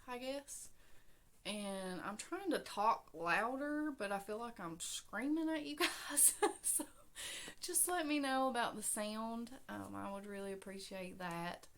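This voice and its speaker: A woman's voice: medium pitch, fairly bright tone. A woman, hosting a casual crochet vlog from her home craft room. She chats to viewers in a scattered, friendly way with many fillers. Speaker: crochet podcast host. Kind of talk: casual chat to camera. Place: small home craft room with yarn shelves.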